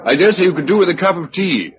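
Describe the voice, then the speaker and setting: An actor playing a brisk, rousing committee chairman in a radio drama, with a British accent. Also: high-pitched, lively intonation. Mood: brisk, affable. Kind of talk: radio drama acting, formal public address. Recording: dull lo-fi radio recording, faint noise.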